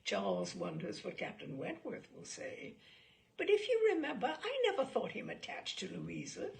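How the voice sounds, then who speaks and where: A woman reading a classic novel aloud, voicing a self-pitying, gossipy young married gentlewoman with an animated, complaining manner. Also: fairly high pitch, normal speed, creaky, full character voice. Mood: gossipy, smug.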